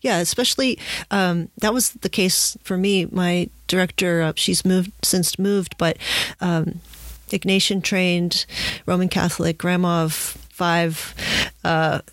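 A woman's voice: fairly low pitch, fairly even intonation, slow pace, dry recording. A woman talking conversationally as an interview host, thinking aloud and often rephrasing herself as she goes. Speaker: podcast host and spiritual director.

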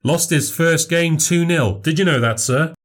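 A man voices an eager memory showman in this spoken story, pitched up from the reader's own voice. He is formal and overly polite, putting on a show for the crowd. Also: unhurried, lightly acted. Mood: showy, polite. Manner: showy, overly polite, says "sir" and "madam".

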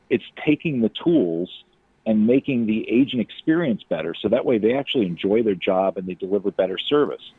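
Phone-quality voicemail, man, lowish husky voice, orderly explanatory delivery. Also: no echo. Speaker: technology evangelist, podcast guest. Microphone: telephone. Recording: dull phone-line voicemail, dry.

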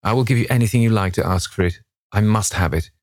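A man's voice: lowish voice, fast talker, darkish tone, no echo, studio quality, pitched above the performer's own voice, natural voice. A man voicing a witty, self-assured aristocrat with a flippant manner in a novel reading. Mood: enthusiastic.

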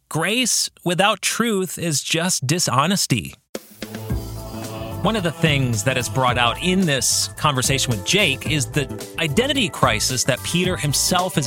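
A man's voice: a little high, sharp tone, no echo. A man hosting a podcast, speaking in an earnest, reflective way and often putting rhetorical questions to the listener. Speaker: podcast host. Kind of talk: reflective book discussion addressed to listeners.

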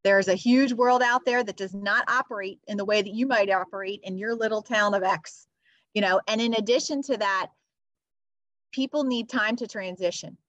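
A woman's voice: medium pitch, moderate pace, fairly bright tone, dry recording. A woman teacher talking in an earnest, upbeat way as a podcast guest, with many "you know" fillers. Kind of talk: podcast interview. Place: near Philadelphia.

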